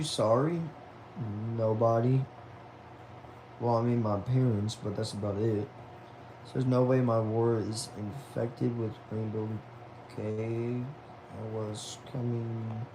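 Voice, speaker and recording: A young man of about twenty hosts a relaxed livestream from home, reading out his viewers' comments and answering them. His voice sits at an ordinary pitch for a man. He sounds loose and chatty, with a faint hiss of noise behind him. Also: slow pace. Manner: laid-back, chatty, says y'all and man. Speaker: livestream host. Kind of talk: casual livestream chat, reading viewer messages aloud.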